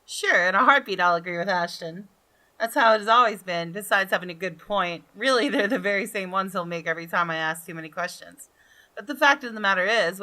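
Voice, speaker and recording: A woman's voice: lowish voice, bright, forceful tone. A woman hosting a gaming video, reading visual novel narration expressively with casual commentary.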